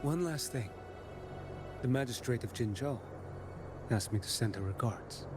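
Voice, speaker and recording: An actor playing a grave, weary warrior in a video game, speaking slowly and solemnly. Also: male voice, mid-range voice, lively intonation, lightly acted. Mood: solemn resolve. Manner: slow, solemn, measured delivery. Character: grave, weary warrior guarding against a dark tide. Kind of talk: dramatic video game acting. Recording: loud music behind the voice.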